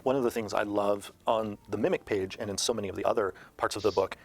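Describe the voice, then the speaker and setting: A man's voice: lowish voice, fairly even intonation, fast. A man speaking as a panelist, giving appreciative comments in a discussion.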